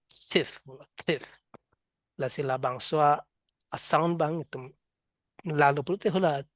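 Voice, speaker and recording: A man with a Karbi accent teaching an English class, drilling words and sounds slowly and having students repeat. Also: fairly high pitch, no echo. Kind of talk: classroom lesson, mixing local language and English.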